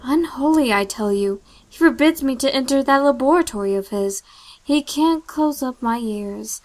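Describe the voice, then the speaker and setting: A woman's voice: sits high, poor audio. A woman playing a scolding, worried, superstitious housekeeper in an old-time radio drama style, with a fussy, dramatic delivery.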